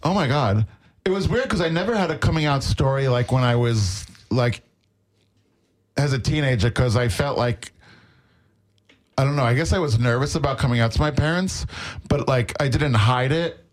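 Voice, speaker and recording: A man guesting on a radio chat show, chatty and quick with quips, talking about his own life. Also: mid-range voice. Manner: chatty, quippy. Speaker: drag bingo host guest. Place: radio studio.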